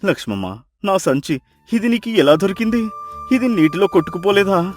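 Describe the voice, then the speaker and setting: A man's voice: high-pitched, sing-song, moderate pace, faint music behind. A man playing a humbled, emotional former miser husband in a moral story, with earnest, dramatic delivery. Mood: remorseful. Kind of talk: Telugu moral story character acting.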